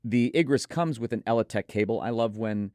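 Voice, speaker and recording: A man's voice: lowish voice, fairly quick, dark tone, no echo, clean studio sound. A man co-hosting a product review, talking conversationally with enthusiastic, firm opinions. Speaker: headphone show co-host reviewer.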